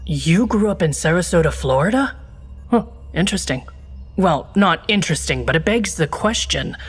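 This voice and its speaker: A woman's voice: on the low side, expressive, normal speed, breathy edge, faint music behind. An actress playing a serious, skeptical female secret agent with a dry, businesslike manner in a comedic video game.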